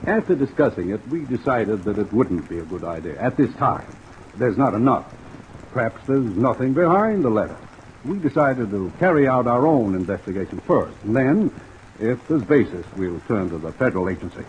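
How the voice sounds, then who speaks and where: An actor playing a courteous, cautious company executive in a 1950s radio drama, speaking in a formal, measured, businesslike way. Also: male voice, medium pitch, big pitch swings, lightly acted. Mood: cautious, firm. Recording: muffled old radio recording, rain noise.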